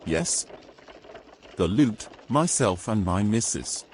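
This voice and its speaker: A man's voice: on the low side, big pitch swings, slow pace, slight background noise. A man playing a domineering, scornful knight in a fantasy audio drama, speaking in pompous, old-fashioned phrases. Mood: smug, condescending.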